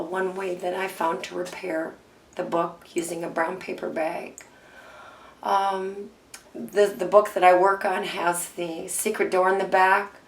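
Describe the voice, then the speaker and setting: A hoarse woman with a froggy voice hosting a casual crafting tutorial, chatting and thinking aloud with ums as she works. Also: fairly low pitch. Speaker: craft video host.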